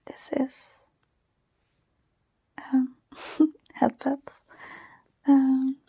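A shy young Dutch-accented woman talks in a soft, breathy whisper close to the mic, her voice dark and gravelly at the edges. She speaks slowly and hesitantly, with nervous giggles and a flat, even tone. The recording is dry and intimate. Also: high voice, no room sound. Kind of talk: whispered personal ramble, ASMR-like. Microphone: close microphone.

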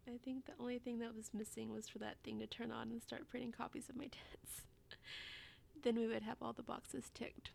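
A woman playing an amorous, playful lover in an intimate adult audio roleplay, breathy and often slipping into whispers. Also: a little high, level tone, normal speed, a little mellow, a little hiss or hum. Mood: playful, winded.

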